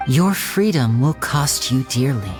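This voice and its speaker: An actor playing a young stage magician in a video game, sharp and theatrical, with measured, pointed delivery. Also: male voice, mid-range voice, very animated, soft background music. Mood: cold, bitter. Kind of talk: dramatic game dialogue.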